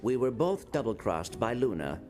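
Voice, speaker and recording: An actor playing a small, polite, earnest robot sidekick in a game cutscene, speaking formally and carefully. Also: male voice, mid-range voice, big pitch swings, moderate pace, lightly acted. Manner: formal, careful wording without contractions. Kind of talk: video game cutscene acting. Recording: music behind the voice.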